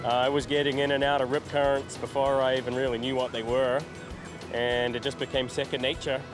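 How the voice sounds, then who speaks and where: A man from New Zealand, a lifeguard sergeant, calmly explaining his work in a documentary interview. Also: medium pitch, fairly quick, over music.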